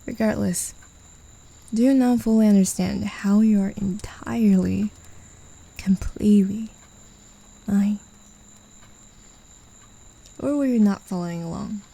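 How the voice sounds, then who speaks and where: A woman voicing a possessive, mocking demon in an ASMR roleplay, speaking in a condescending, teasing way with soft whispers. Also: medium pitch, measured pace, creaky, pauses often. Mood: taunting. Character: mocking, possessive demon who claims a human as a pet.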